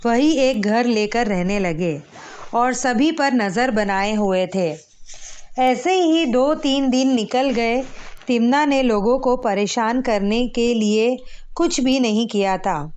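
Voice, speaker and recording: A woman storyteller reading a scripted children's moral tale, measured and phrase by phrase. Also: on the high side, a little mellow.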